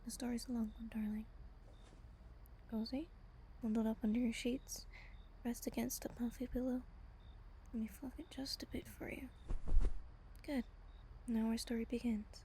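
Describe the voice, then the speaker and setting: A woman playing a gentle, wistful female vampire, telling a bedtime story tenderly and intimately in a breathy, whispery, soft-spoken roleplay style. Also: fairly high pitch, level tone, normal speed, pauses often, slight background noise, natural voice, rough recording. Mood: tender, soothing. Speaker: voice actor in roleplay audio.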